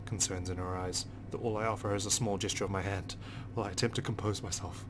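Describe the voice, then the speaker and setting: A man's voice: low-pitched, steady tone, quick pace, background noise. A man hosting a game playthrough, reading narration aloud expressively and dramatically. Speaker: gaming video host. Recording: game music behind the voice.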